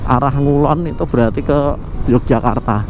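A man with a Javanese accent, chatting casually and excitedly while riding a motorbike outdoors, with frequent laughs. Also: medium pitch, moderate pace, dull audio, noisy background. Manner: excited exclamations, laughs often, mixes in Javanese. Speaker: motovlogger. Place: outdoors on a moving motorbike, rural roads. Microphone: helmet intercom mic, chest camera.

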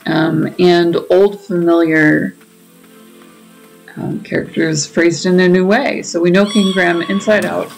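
Low, creaky-voiced woman gamer. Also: fairly even intonation, unhurried, rough recording. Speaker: gaming video host. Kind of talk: casual gameplay commentary. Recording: faint game music behind. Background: game music and dialogue.

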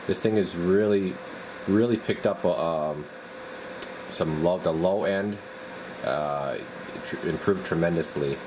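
A man in a radio workshop, talking casually and haltingly while he tests equipment. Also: low voice, noisy background. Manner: relaxed, halting, thinks aloud while working. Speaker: radio repair technician. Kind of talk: casual hands-on demo.

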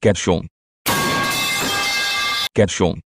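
A man's voice: low-pitched, steady tone, extremely slow, creaky, loud music behind the voice, pitched below the performer's own voice, full character voice. A man voicing a smug, power-happy male race official in a meme video. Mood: gloating. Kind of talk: meme voiceover comedy.

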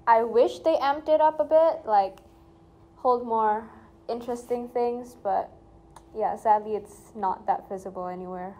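A woman's voice: a little high, a little slow, darkish tone. A young woman from Indonesia answering interview questions frankly and casually, indoors by a projection screen.

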